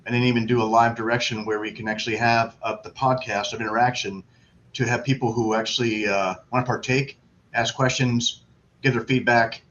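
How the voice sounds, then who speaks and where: Through muffled call audio with some background noise comes a man with a bright, forceful voice at a middle pitch. He talks casually and brashly, poking fun at himself as a livestream guest. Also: little pitch movement, brisk. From Florida. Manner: brash, self-deprecating, joking. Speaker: guest on an online networking livestream. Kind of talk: casual chat. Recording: dull lo-fi webcam audio, loud background noise.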